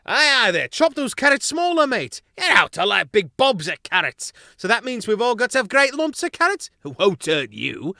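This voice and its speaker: A man voicing a crew of cheeky young mouse sailors squabbling over a stew pot in a storybook, in high, rowdy voices. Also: sing-song, moderate pace, vocal fry, few pauses, sharp tone, no echo, clean studio sound, heavily acted. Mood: bickering. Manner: squeaky, rowdy, working-class banter.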